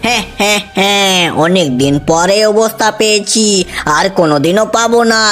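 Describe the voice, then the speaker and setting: A man's voice: high voice, moderate pace, fairly bright tone, soft background music, voice pushed down. A man voicing a greedy, gloating clown monster who wants to eat people, in a cartoon.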